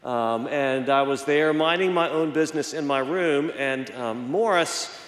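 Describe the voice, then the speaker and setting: A middle-aged man, slightly hoarse, preaching a reflective sermon in a church and telling a personal story with many fillers and restarts. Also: a little high, unhurried. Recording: echoey church hall.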